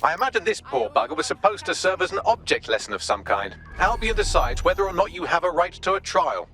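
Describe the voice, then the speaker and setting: A man's voice: high voice, sing-song, fast talker, gravelly, pushed and bright, heavily acted. A man voicing a smug, sardonic British AI assistant in a video game, with a wry, wordy, theatrical manner. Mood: breezy, wry. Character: cheeky sardonic male AI assistant, quippy and smug.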